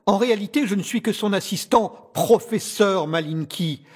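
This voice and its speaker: A man's voice: sits high, normal speed, slightly rough. A man playing a cunning, courtly scientist villain in an audio drama, speaking in a formal, theatrical, condescending way with veiled menace. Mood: suave, condescending. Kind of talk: scripted audio drama acting.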